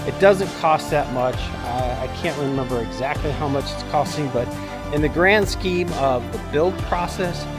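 A man's voice: fairly high pitch, moderate pace, loud music behind the voice. A man in his fifties giving a casual, plain-spoken instructional walkthrough as a vlog host, recorded outdoors on a handheld camera. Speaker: vlog host showing a home build. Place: outdoors at a concrete foundation construction site. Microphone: handheld camera at arm's length.

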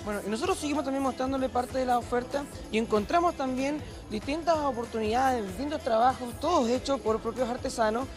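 Chilean male TV reporter, high voice, quick persuasive patter, handheld mic, music behind. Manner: upbeat, persuasive, addresses viewers directly. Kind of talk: promotional TV fair walkthrough. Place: indoor craft fair hall. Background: background music.

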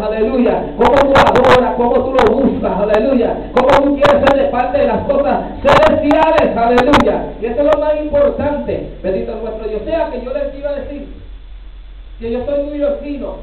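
A man's voice: extremely high pitch, a little hiss or hum. A middle-aged man, a New York-born Puerto Rican pastor, preaching a fervent testimony at a church pulpit, peppering his Spanish with hallelujahs and bits of English. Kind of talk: Pentecostal sermon testimony. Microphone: handheld mic, recorded from far back. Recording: distant, echoey, tinny church recording.

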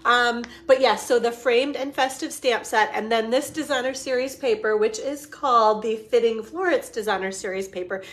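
A woman in her forties, a bit hoarse from a cold, chattily demonstrating craft supplies on a home live stream and greeting viewers by name. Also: a little high, moderate pace, hardly any pauses. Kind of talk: casual live-stream demo. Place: living room at home.

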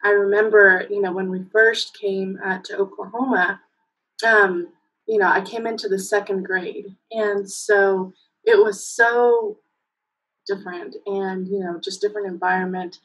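A woman's voice: medium pitch, steady tone, unhurried, slightly rough, low-quality recording. A woman in her thirties from Mexico whose first language is Spanish, telling stories with fillers and laughter on a video call from home. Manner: warm storytelling, lots of fillers, bursts of laughter. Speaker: guest. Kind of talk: casual interview over video call. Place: home room, indoors. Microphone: laptop webcam on a video call.

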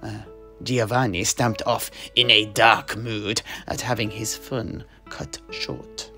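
A man's voice: fairly low pitch, little pitch movement, takes it slowly, bright, forceful tone, full character voice. Man playing a storytelling mercenary knight, music behind. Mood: dramatic recounting. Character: male mercenary knight recounting his grandfather's voyage in a tavern. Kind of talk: in-universe storytelling audio play.

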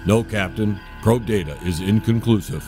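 An actor playing a calm, logical alien science officer who speaks precisely and formally in a sci-fi comedy audio drama. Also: male voice, fairly low pitch, moderate pace, over music, natural voice. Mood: detached, analytical.

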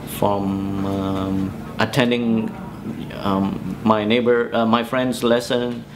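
A man in his forties from Vietnam with a Vietnamese accent, recounting memories in a halting, hesitant way as he searches for words in an interview. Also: lowish voice, steady tone, noisy background. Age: 40s. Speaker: interviewee, musician. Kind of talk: oral history interview, personal recollection. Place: small bedroom, indoors.

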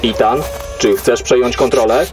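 A man's voice: medium pitch, moderate pace, over music, lightly acted. A man playing an emotionless military AI, giving clipped, formal reports in a sci-fi audio drama. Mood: cold, detached. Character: cold logical military AI controlling a soldier's body.

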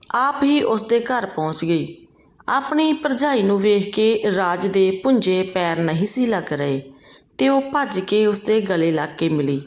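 A woman reads a scripted story as a storyteller. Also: medium pitch.

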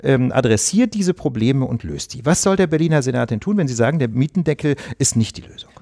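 Fast-talking man pressing with questions. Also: mid-range voice, animated, vocal fry, mellow. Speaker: podcast host. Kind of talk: political interview.

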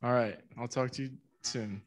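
Brief: young male student host, polite and brisk introductions. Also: mid-range voice, steady tone. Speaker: student club officer introducing the speaker. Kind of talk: event hosting.

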